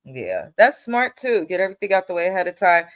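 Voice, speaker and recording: A young woman sounding stuffed up and nasal, running a casual recap with blunt, animated opinions. Her voice sits on the lower side, and she swears freely. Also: a little fast. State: congested, nasal from allergies. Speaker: host.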